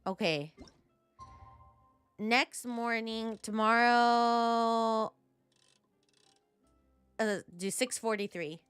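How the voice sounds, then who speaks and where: A woman giving casual live commentary on a game playthrough, playful and excitable. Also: a little high, drawn-out words, long pauses, smooth, no room sound, faint music behind. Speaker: game streamer.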